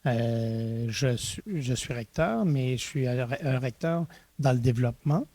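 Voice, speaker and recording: A man's voice: mid-range voice, unhurried, warm tone. A middle-aged man from Eastern Ontario, a university rector telling his story in an interview, with many restarts and hesitations. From Eastern Ontario, Canada. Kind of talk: reflective conversational interview.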